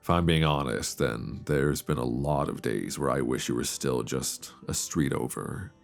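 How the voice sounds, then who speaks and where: Very deep, gravelly, wistful young man, acted. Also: measured pace, full character voice. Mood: tender, melancholy. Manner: hesitant, confiding, conversational with sighs. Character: wistful young man, homesick friend with a secret crush. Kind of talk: intimate romantic audio roleplay, ASMR. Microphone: close binaural microphone. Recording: close binaural recording with soft guitar music.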